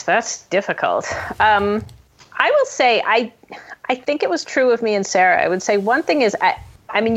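Dull-sounding, lower-pitched woman guest screenwriter. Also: a bit bright. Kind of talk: casual interview conversation. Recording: dull, lo-fi audio.

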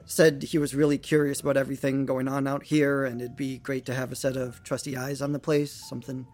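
A man's voice: medium pitch, flat delivery, brisk, darkish tone, close to an everyday voice. Man playing an eager, nervous young rookie doctor. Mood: nervous, flustered. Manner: stammering, deferential, earnest. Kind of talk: audio fiction acting.

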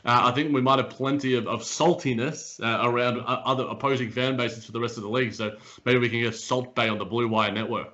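A man from Australia co-hosting a casual sports podcast, rambling with animated restarts and a slightly raspy morning voice, over a dull remote recording. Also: mid-range voice, fast, hardly any pauses. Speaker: podcast co-host.

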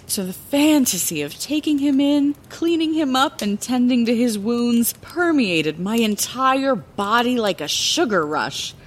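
A woman's voice: a little high, slight background noise. An actress playing a fond, playful middle-aged woman lighthouse keeper, telling her past as a theatrical bedtime story in a fantasy audio drama. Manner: theatrical, wordy, chatty asides.

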